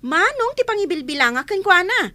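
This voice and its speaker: A woman's voice: extremely high pitch, big pitch swings, brisk, sharp tone, exaggerated voice. A woman playing a stern, fed-up woman who scolds sharply in an audio drama. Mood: exasperated. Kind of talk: Filipino audio drama acting.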